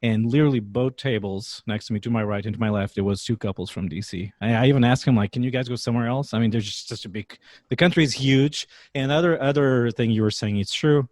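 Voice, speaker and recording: Fast-talking man. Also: mid-range voice, a little mellow, no echo, studio quality. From Portugal. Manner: friendly, joking, quick talker. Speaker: podcast host. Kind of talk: casual interview.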